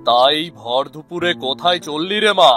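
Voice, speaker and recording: An actor playing a boastful, folksy village farmer uncle in a cartoon, hearty and self-assured. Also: male voice, on the high side, lively intonation, moderate pace, pushed and bright, faint music behind. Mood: chatty, exasperated. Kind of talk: cartoon voice acting.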